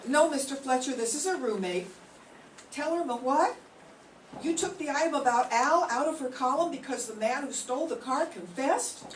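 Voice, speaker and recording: A woman's voice: high-pitched, animated, moderate pace. An older woman in a class radio-play reading, playing a practical, wisecracking roommate who also narrates with dry asides. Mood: sardonic. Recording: roomy classroom, far from mic, faint hiss.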